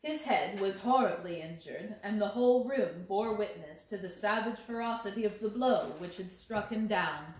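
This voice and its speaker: A woman's voice: mid-range voice, normal speed, few pauses, a little hiss or hum. A woman reading a story aloud as scripted audiobook narration. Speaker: audiobook reader. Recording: very echoey, reverberant room.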